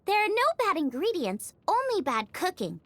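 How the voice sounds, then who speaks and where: A woman voicing a bubbly, eager teenage girl chef in scripted video game lines, perky, earnest and expressive. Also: very high voice, moderate pace, no echo, heavily acted.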